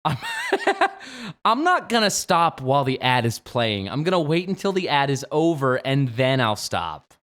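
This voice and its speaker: A man in his twenties, a game streamer, chatting jokily on a late-night livestream and jumping from low mumbles to sudden shouts. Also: big pitch swings, unhurried. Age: 20s. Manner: playful, jokey, swings from mumbling to sudden shouts.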